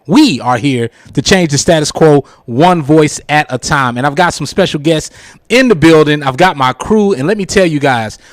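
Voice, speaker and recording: Slightly high-voiced male radio host, dry sound. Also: no room sound. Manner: showy introductions with repeated catchphrases. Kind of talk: casual live talk radio.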